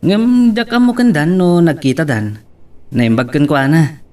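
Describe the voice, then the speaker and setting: A man acts as a young Ilocano man who sells rice in a romance drama. His voice sits a little high and conversational, recorded slightly away from the microphone. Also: measured pace, a little mellow, recorded from a distance, lightly acted. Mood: earnest. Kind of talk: Ilocano radio-style love drama acting.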